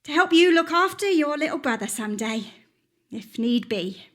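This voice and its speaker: A woman playing a doting but sharp-tongued working-class mother in a radio play, affectionate and scolding. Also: high-pitched, lively intonation, normal speed. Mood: fond. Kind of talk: stage radio-play acting.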